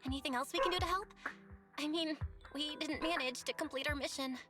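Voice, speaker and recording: An actress playing an eager, helpful young investigator in a video game, earnest and polite. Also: female voice, sits high, a little fast, bright, forceful tone. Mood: earnest, concerned. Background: game music.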